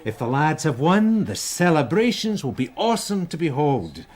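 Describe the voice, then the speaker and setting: A man's voice: a little high, very animated, normal speed, darkish tone. A man playing a grumpy, busy middle-aged detective sergeant in a radio drama, with a dry, sarcastic, exasperated manner. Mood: weary, instructing.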